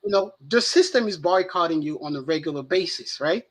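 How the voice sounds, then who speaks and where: High-voiced French-accented man. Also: lively intonation, a little fast. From France. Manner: conversational, frequent "right?" and "you know" tags. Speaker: podcast co-host. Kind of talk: casual discussion.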